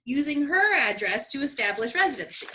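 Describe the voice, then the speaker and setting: A woman's voice: sits high, very animated, fairly quick, background noise. A woman narrating a comedic scripted radio play with sassy, dramatic delivery.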